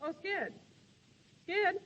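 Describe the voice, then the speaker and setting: A very high, slangy voice in a long reverb on old radio. It is a brassy, jealous Broadway dame, teasing and pointed. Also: female voice, expressive, very slow, a bit bright, muffled recording, full character voice. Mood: coaxing. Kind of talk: 1930s radio drama acting.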